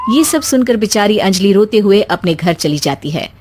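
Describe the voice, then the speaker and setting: A woman's voice: mid-range voice, lively intonation, normal speed, a little mellow, slight background noise. A woman storyteller reading scripted narration for a moral story.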